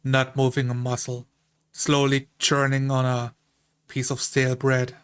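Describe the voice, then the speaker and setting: Slow-paced man narrating roleplay. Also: mid-range voice, monotone, clean tone, dry recording. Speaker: tabletop player.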